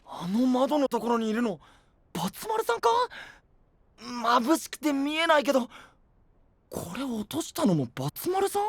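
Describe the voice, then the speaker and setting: A man's voice: extremely high pitch, sing-song, moderate pace, no echo, full character voice. An actor playing a boyish, frank and upbeat young male knight with casual, direct speech in an anime-style voice drama. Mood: puzzled, calling out.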